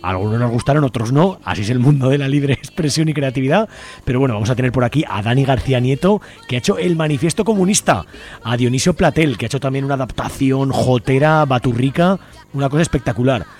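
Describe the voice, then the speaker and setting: Spanish guy hosting a radio show, talks really quick, chatty and informal. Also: mid-range voice, expressive, darkish tone. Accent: Spain. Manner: chatty, colloquial.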